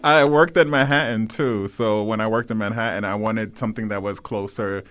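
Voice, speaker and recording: Relaxed New man, low voice. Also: moderate pace. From New York. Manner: relaxed, laughs easily, trails off with fillers. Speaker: interview guest, actor. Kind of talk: casual interview.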